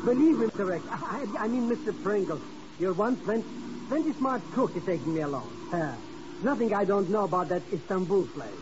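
An actor playing an eager, stammering, chatty sidekick in a 1950s radio drama. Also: male voice, high voice, lively intonation, fairly quick. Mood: eager, flustered. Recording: muffled, noisy 1950s radio recording.

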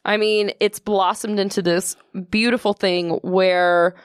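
Low-voiced woman podcast co-host. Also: unhurried, clean tone, dry recording, clean studio sound. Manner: low, sultry-sounding voice, relaxed and sincere. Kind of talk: casual chat. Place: podcast studio.

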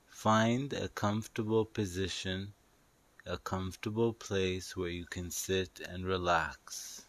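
Very slow, low-pitched man guiding meditation. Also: fairly even intonation, fairly bright tone, no room sound. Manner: soothing, instructive, repeats phrases slowly. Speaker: guided meditation narrator. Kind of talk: guided meditation, hypnotic scripted narration.

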